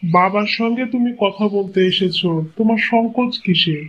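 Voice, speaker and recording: Cartoon dub: man voicing a kindly old father-in-law, coaxing and fatherly, high-pitched, muffled lo-fi audio. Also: moderate pace, a bit bright. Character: elderly father-in-law, gentle and affectionate. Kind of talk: cartoon dubbing.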